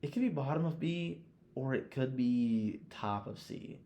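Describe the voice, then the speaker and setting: A man talking casually as a podcast host, blunt and quick to swear as he gives his opinions. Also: medium pitch, animated, mellow. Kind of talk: casual chat, ranking films.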